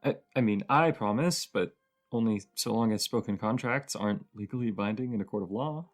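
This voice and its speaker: A woman's voice: fairly quick, soft background music. A woman playing a cozy, teasing young roommate with a shy crush in an intimate audio roleplay, her voice sleepy and grumbly. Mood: teasing, reassuring. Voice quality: sleepy, grumbly low voice with creak.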